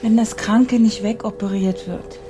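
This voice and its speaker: A woman's voice: medium pitch, steady tone, a little slow, warm tone, faint music behind, close to an everyday voice. A woman playing an anxious, protective female carer in a radio drama. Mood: gentle, urgent.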